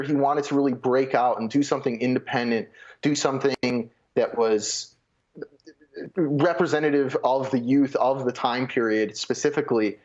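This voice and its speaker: Male pundit, mid-pitched, halting live commentary with repeated words, dull lo-fi link. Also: darkish tone. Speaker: film reporter, interview guest. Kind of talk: unscripted live interview commentary.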